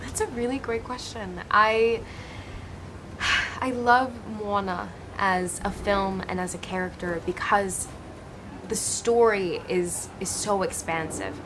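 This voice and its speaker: A woman in her twenties from Hawaii, an actress answering interview questions in an earnest, expressive way with frequent restarts. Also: medium pitch, slow pace, noisy background. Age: 20s.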